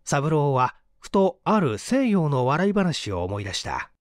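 A male audiobook reader narrates a story with lively intonation. His voice is slightly high for a man, and the recording is dry and close. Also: a little slow, no room sound. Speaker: audiobook reader, stage actor. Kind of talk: dramatic scripted story narration.

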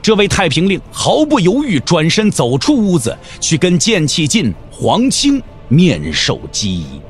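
Male narrator for martial-arts audio drama: very animated intonation, somewhat high pitch, scripted and dramatic. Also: a little slow, faint music behind.

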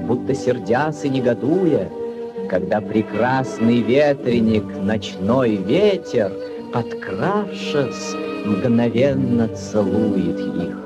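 An actor playing a rapt, lyrical storyteller, declaiming theatrically with long pauses in a 1940s radio drama. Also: male voice, medium pitch. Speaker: narrator. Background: prominent music.

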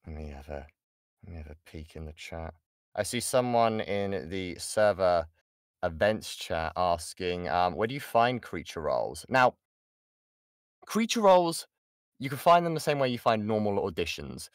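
A British man with a fairly low voice in a dry, close-sounding recording. He teaches informally like a workshop host, chatting along, interrupting himself and repeating points to stress them. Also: big pitch swings, normal speed, no echo. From United Kingdom. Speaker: voice actor giving a workshop. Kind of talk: informal online lesson with audience questions. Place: indoors, online voice chat.

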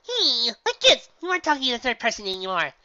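A woman's voice: fairly high pitch, sing-song, fast talker, bright, forceful tone, no room sound, voice pushed up. A woman voicing a wry, guarded female pony gym leader who is easily worried. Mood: wary. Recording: dull, dry lo-fi recording.

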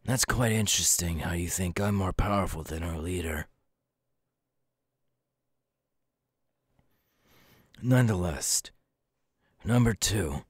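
Casting: male actor as a young villain recruiter for ASMR roleplay, low voice, dry close sound, deliberate and coolly menacing. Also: husky, dry recording. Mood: probing, cool. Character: young adult male supervillain recruiter, cool, menacing, sly.

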